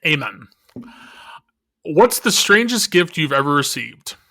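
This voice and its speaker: A man hosting a casual interview podcast, relaxed, tossing out quick questions. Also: fairly high pitch. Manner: relaxed, tosses quick rapid-fire questions. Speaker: podcast host.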